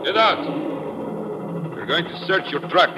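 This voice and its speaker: An actor in an old radio drama playing a brusque, excitable foreign police officer who barks out commands. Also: male voice, fairly high pitch, a little slow, lo-fi sound. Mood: commanding. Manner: barking, commanding. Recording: very noisy old radio broadcast recording.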